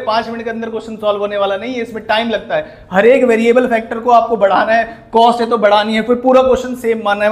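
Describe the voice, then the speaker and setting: An Indian man teaching in an explanatory commentary style, scolding and indignant, with emphatic rhetorical questions. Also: high voice. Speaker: accounting teacher. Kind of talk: explanatory video commentary on exam answer sheets.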